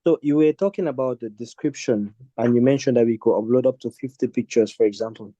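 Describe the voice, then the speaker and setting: A man's voice: medium pitch, fairly quick, mellow, no room sound. A man from Cameroon, a training participant, asking a question on an online call. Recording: dull lo-fi call audio.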